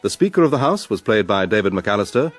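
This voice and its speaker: British male announcer over clear music. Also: fairly low pitch, sing-song, fast. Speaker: continuity announcer reading credits. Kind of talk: formal scripted announcement. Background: orchestral music.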